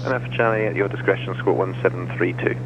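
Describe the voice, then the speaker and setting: A man's voice: deep voice, steady tone, quick pace. A man working as an air traffic controller, giving procedural instructions over aviation radio. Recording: muffled, noisy aviation radio.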